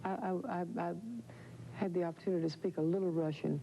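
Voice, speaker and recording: Effusive female talk host with a mellow tone, on dull, slightly hissy old TV audio. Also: on the low side, fairly even intonation, creaky. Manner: warm and effusive, quick to chime in. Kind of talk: interview chat. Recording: dull lo-fi 1990 TV audio, faint hum.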